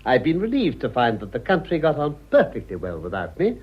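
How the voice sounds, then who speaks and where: Husky, animated, wry British gentleman. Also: mid-range voice, brisk. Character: urbane, wry, cynical older English gentleman, amused. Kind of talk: radio drama acting of a stage comedy. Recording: dull lo-fi radio drama recording.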